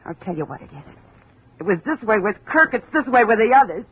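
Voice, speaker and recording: An actress playing a grieving widow who speaks quietly and earnestly in a 1950s radio drama. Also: female voice, mid-range voice, big pitch swings, brisk, husky. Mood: pleading. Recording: dull old radio broadcast.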